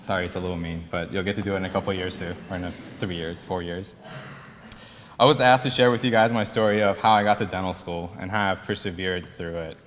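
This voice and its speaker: A man's voice: low-pitched, fairly even intonation, fast talker, a bit bright, slight background noise. A young man in his twenties with a Persian accent, the senior class president, telling his story in a slightly nervous, self-deprecating speech. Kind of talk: ceremony speech. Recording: reverberant hall.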